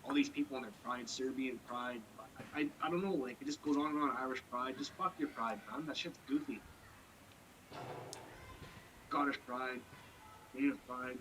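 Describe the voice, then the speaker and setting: A man's voice: medium pitch, steady tone, fairly quick, fairly bright tone, a little hiss or hum, poor audio. A man on a casual podcast talking bluntly and profanely in long rambling stretches. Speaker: podcast co-host. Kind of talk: casual chat.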